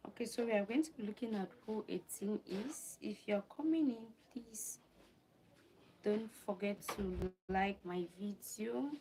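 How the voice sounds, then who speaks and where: A woman's voice: medium pitch, unhurried, warm tone, a little hiss or hum, poor audio. A woman from Cameroon hosting a livestream, reading from notes, repeating her phrases and stopping to answer viewers' questions. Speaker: livestream host. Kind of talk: live stream talk reading notes and chatting with viewers.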